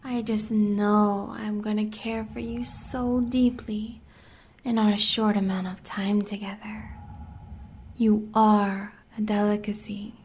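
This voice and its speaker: A woman's voice: medium pitch, level tone, takes it slowly, a little mellow, a little hiss or hum. An American woman playing a sadistic, seductive huntress in an audio roleplay, taunting the listener in sultry half-whispers with cruel pet names. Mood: sadistic delight. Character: sadistic seductive werewolf huntress, villain's lover.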